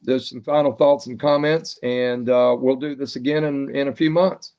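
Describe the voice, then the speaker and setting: A man's voice: mid-range voice, steady tone, moderate pace, a little mellow. A man chatting casually as the host of a radio net. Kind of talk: casual chat. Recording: dull band-limited ham radio audio.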